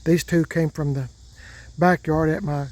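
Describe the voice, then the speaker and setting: An older man talks in a slow, folksy ramble and often repeats himself. His voice has a warm, mellow tone and sits a little high for a man. He speaks casually outdoors as the host of his own vlog. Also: steady tone. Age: 60s. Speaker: truck channel host. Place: outdoors by parked trucks.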